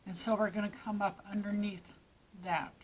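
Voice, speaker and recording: Craft teacher, woman with a stuffy nose and a strained voice, practical and unhurried, with faint noise behind her. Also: mid-range voice, level tone, a little mellow. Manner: plain, practical instructions with small restarts. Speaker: crochet teacher. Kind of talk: step-by-step craft tutorial.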